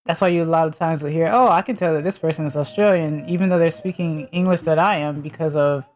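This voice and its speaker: A woman's voice: low voice, steady tone, fast, darkish tone. A woman with a mild Southern US accent, chatting casually on a stream and rambling as she thinks aloud with lots of fillers. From Southern United States.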